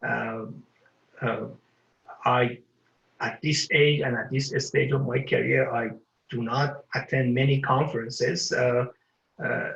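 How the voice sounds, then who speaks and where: An older man from Iran giving an award acceptance speech, halting and full of filler pauses. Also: mid-range voice, steady tone, gravelly, fairly bright tone, roomy sound, highs cut off. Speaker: award recipient.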